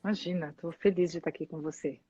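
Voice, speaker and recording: A woman's voice: low voice, fairly even intonation, fast, muffled recording, a crowd in the background. A woman psychoanalyst, a guest on a live-stream conversation over a video call, giving short friendly replies.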